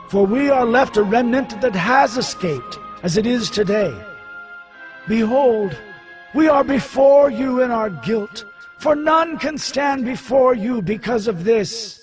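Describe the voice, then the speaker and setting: An actor playing a grief-stricken, devout priest in a dramatized scripture reading, solemn and pleading as he declaims. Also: very high-pitched. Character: grief-stricken, ashamed, devout male priest praying to God.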